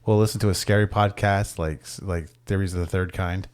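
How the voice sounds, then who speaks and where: Guy with a lowish voice rambling on a podcast, laid back, swears a lot. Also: steady tone, fairly quick, a little mellow, a little hiss or hum. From Mohawk Nation. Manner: rambling, theorizing, profane. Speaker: podcast co-host. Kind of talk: casual comedic banter.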